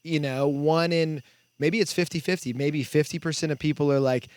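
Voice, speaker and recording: A man's voice: on the high side, fairly even intonation, normal speed, darkish tone, smooth, no echo. A man hosting a casual podcast conversation, earnestly explaining his points in long, loosely worded runs. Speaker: podcast host.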